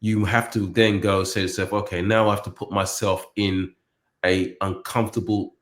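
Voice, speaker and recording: A man in a casual panel discussion, speaking haltingly and often repeating and rephrasing his words. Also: lowish voice, flat delivery. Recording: echoey room sound.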